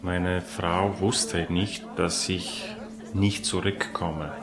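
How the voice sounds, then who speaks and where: A man recalling his own life in a reflective, halting and deliberate way. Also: low voice, little pitch movement. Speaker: interviewee telling own life story. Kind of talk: slow reflective personal recollection. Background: music.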